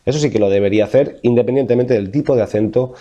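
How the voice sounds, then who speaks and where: A man's voice: mid-range voice, very fast, dark tone, dull audio. A man from La Mancha with a Castilian Spanish accent, a vocal coach in his thirties explaining diction exercises in an interview, thoughtful, with many fillers and restarts. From Spain, Castilla-La Mancha.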